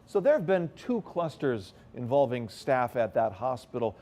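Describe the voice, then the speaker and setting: Male news anchor, measured and conversational. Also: mid-range voice, sing-song, warm tone. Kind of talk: news interview.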